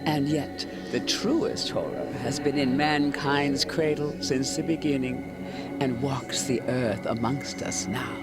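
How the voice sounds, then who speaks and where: A man's voice: medium pitch, steady tone, slow pace. A man giving solemn, ominous, dramatic scripted horror narration. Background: loud music.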